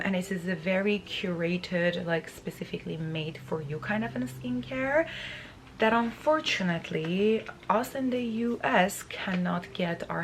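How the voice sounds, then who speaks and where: A woman in her thirties, raspy from just waking up, chatting to camera in her bedroom with many fillers and restarts. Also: lowish voice, a little hiss or hum. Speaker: beauty vlogger. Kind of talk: casual talk to camera. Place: bedroom, indoors.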